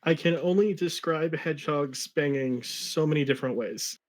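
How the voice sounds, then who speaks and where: A man's voice: a little high, moderate pace. Dry-humored man in casual chat. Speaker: guest commentator.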